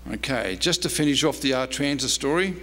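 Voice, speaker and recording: A man's voice: mid-range voice, animated, brisk, fairly bright tone. A man, a historian giving a prepared lecture read from notes at a convention. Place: convention presentation room. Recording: noisy, reverberant hall with hum.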